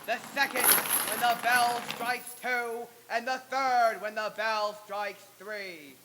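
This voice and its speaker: A man's voice: extremely high pitch, steady tone, slow, heavy background noise. A man playing the doomed, remorseful ghost of a businessman, mournful and declamatory in a radio-play reading. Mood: grave warning. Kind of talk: old-time radio stage reading.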